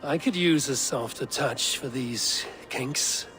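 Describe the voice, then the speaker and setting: An actor playing a steadfast, curious Viking raider in a video game, speaking in a measured, earnest and wry way. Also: male voice, mid-range voice, fairly bright tone, faint music behind. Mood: wry, soft.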